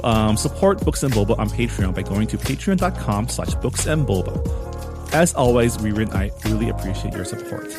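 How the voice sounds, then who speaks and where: A man hosting a podcast in a casual, conversational way, introducing the show and interviewing. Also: mid-range voice. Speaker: podcast host.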